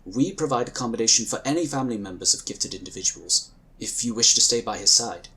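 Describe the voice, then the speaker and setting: A man voicing a calm, dutiful space agent. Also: medium pitch, poor audio. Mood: calmly insistent. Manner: measured, polite, firm. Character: calm, dutiful male psionic scion agent. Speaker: character in audio drama.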